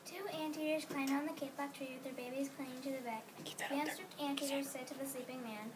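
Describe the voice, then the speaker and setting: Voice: sits high, steady tone, a little fast. A child of about nine or ten reading a scripted story aloud in a readers theater. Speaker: student reader. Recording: noisy room, distant microphone.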